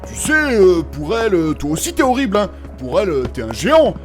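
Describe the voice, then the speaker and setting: Mock-dignified, easily offended burly adventurer, acted by a man: lively pitch, sardonic, comic fantasy audio. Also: a little fast, soft background music. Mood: dry offense. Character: big male adventurer, wry and easily offended. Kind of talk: comedic audio fiction acting.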